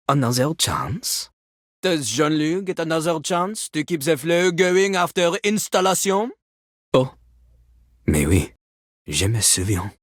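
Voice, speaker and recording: An actor voicing a pompous, sassy talking toilet who raps in a theatrical French accent, boasting haughtily in a video game. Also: male voice, mid-range voice, no room sound, clean studio sound. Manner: haughty, third-person boasting, theatrical flourishes. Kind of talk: video game character voice acting, partly rapped.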